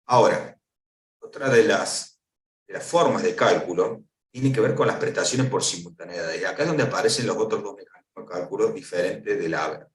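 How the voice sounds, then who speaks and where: A man's voice: low voice, normal speed, far from the mic. Lecturing Argentine man in an echoey hall. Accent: Argentine Spanish. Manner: didactic, asks and answers own questions. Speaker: lecturer on pension law. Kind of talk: explanatory conference talk.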